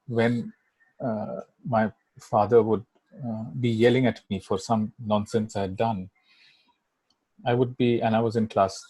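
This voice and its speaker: A man's voice: medium pitch, a little mellow, husky, no room sound, poor audio. A middle-aged man from Kolkata, native Bangla speaker with an Indian English accent, talking as an interview guest in a rambling way full of fillers and "right?" tags. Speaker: guest filmmaker. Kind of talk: casual interview chat.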